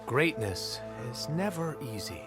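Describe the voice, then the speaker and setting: An actor voicing a pompous, commanding ruler of a seafaring tribe in a video game, speaking with grandiose authority. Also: male voice, on the high side, big pitch swings, unhurried, music in the background. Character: imperious, grandiose male chief executive of a seafaring tribe. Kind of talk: dramatic video game acting.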